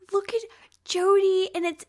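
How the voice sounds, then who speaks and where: A woman co-hosting a podcast in casual book chat, with a gushing, excitable manner. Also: very high voice. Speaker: podcast co-host.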